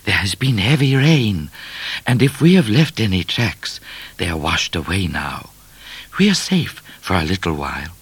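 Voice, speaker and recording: A talking cat from a storybook, voiced by a man, sensible and matter-of-fact. The speech is measured and knowing, with expressive movement in pitch. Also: husky, no room sound, heavily acted. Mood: cautious, matter-of-fact.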